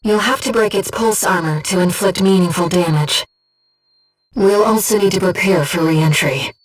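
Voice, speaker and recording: An actress playing a gentle, devoted female voice in a pilot's head, a composed and precise mission guide in a video game. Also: lowish voice, moderate pace, far from the mic, lightly acted, low-quality recording. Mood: composed, focused. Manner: composed, precise, earnest delivery. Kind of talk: video game voice acting, tactical briefing.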